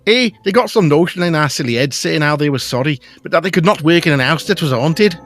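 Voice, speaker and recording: A man's voice: fairly high pitch, expressive, fast, fairly bright tone, faint music behind, pitched above the performer's own voice. A man voicing an anxious working-class female housekeeper in an audio drama, speaking colloquially. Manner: colloquial, dropped grammar.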